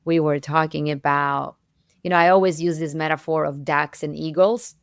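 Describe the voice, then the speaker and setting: Female podcast host, lowish creaky voice, expressive conversational interviewer, close dry sound. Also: normal speed, no echo.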